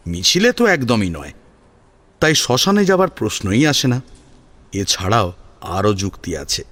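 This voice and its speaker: Expressive male storyteller with lively pitch swings. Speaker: story narrator. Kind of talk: dramatic scripted audio-story narration in Bengali serial fashion.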